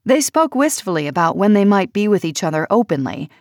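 A woman reading a personal essay as audiobook narration, in measured, expressive phrasing. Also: mid-range voice, no echo, clean studio sound.

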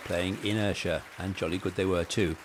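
Deep-voiced unhurried male radio presenter. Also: steady tone, noisy background. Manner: measured, unhurried delivery. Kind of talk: scripted radio link.

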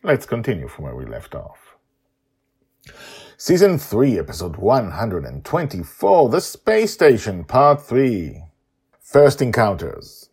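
A man hosting a story podcast and reading his own tale in an animated, emphatic way with short dramatic phrases. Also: lowish voice, slow pace, slightly rough.

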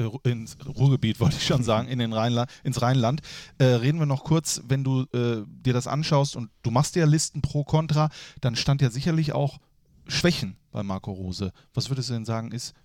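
A man's voice: medium pitch, moderate pace, darkish tone, dry recording, clean studio sound. A man interviewing a guest in a casual, jokey way, often correcting himself mid-sentence. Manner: jokey, chatty, self-correcting.

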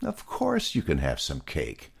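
A man's voice: extremely low pitch, huge pitch swings, unhurried, vocal fry, husky, exaggerated voice. A man voicing a kind, motherly female pig who speaks graciously. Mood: welcoming. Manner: gracious, warm.

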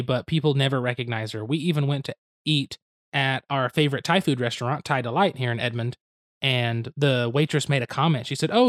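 A slightly hoarse man from Oklahoma, co-hosting a podcast in easygoing, rambling casual chat. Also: mid-range voice, no echo, clean studio sound. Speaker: podcast co-host.

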